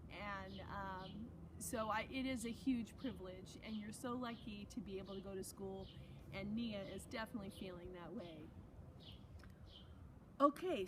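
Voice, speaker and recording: A woman teacher with a fairly high voice reads a story aloud to children. She is expressive and breaks into chatty, encouraging asides. There is clear noise on the recording. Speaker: teacher reading aloud to students.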